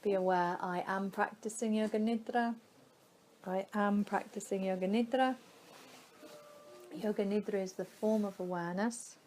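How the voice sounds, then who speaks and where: A woman guiding a yoga nidra relaxation meditation in a soothing, unhurried manner, leaving long pauses between phrases. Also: mid-range voice, fairly even intonation, darkish tone, a little hiss or hum.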